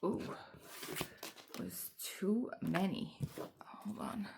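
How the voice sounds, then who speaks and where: A woman doing a casual spiritual tarot reading, speaking haltingly as she goes through the cards. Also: medium pitch, creaky, in a whisper. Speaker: tarot card reader. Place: indoors at a table, away from home.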